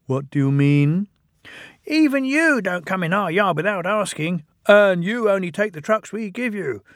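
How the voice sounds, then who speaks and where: A man's voice: sits high, expressive, normal speed, no room sound, studio quality, voice pushed up. A man voicing a stern but kindly senior steam engine, severe and fatherly, in a storybook.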